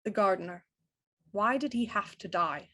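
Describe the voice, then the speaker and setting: An actress playing a composed, shrewd Victorian police inspector in a radio mystery, speaking in a cool, deliberate, probing way. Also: female voice, medium pitch, a bit bright, lightly acted.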